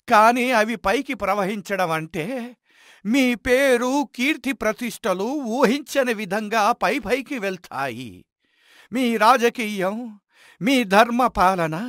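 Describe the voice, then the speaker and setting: A man's voice: high voice, fairly bright tone, no echo, clean studio sound, full character voice. A man voicing a humble, wise old commoner who explains things respectfully and reassuringly in a dramatized moral story.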